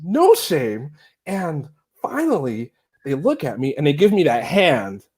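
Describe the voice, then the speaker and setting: A man telling a lively, self-mocking story on a video call, acting out scenes, speaking through an earphone mic in a small room. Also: on the high side, measured pace, slightly rough. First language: not Chinese. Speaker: Chinese teacher, guest.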